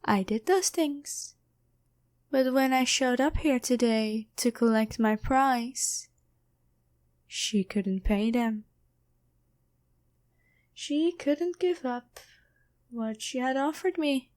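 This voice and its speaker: A woman playing a sly, teasing female demon posing as a girlfriend in an intimate, deliberate ASMR roleplay. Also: sits high, darkish tone. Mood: smug, menacing. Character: taunting female demon possessing and impersonating someone's girlfriend. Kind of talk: ASMR roleplay, addressed to the listener.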